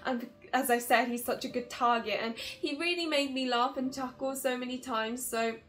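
A woman in her twenties giving a casual, chatty game review to camera in an expressive way. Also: sits high, normal speed. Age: 20s. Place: small bedroom, indoors. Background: background music.

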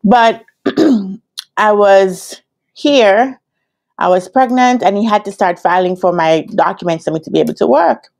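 Jamaican woman in her thirties, relaxed chatty vlogger speaking into a laptop webcam in a hotel room. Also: medium pitch, measured pace, creaky. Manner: chatty, laughs often, talks straight to viewers. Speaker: vlogger and business analyst coach. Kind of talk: casual storytelling vlog. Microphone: laptop webcam at desk.

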